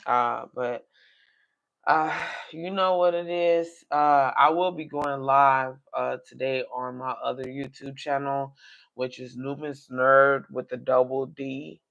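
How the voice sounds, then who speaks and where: Man, mid-pitched, a touch raspy today, flat monotone delivery, rambling livestream host talking straight to viewers, dull lo-fi audio. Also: slow pace. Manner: rambling, talks directly to viewers. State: slightly raspy voice. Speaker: coin collecting livestream host. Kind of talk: casual livestream chat.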